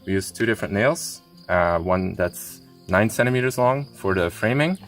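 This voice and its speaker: Low-voiced man giving a tour. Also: soft background music. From Belgium. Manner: relaxed, explanatory, many filler pauses. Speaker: tiny house builder. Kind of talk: casual walkthrough tour. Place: outdoor building site.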